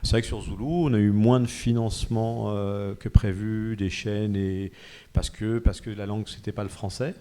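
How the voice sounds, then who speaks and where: Male producer on a conference panel, low and mellow, calm and matter-of-fact. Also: moderate pace, smooth. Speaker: film producer. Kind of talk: panel discussion. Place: conference hall.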